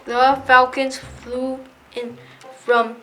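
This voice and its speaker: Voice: high voice, level tone, extremely slow, pushed and bright, slight background noise, heavily acted. A female performer playing a courteous rhyming knight in a children's reader's theater play. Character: cheerful, courteous rhyming knight of a fantasy kingdom.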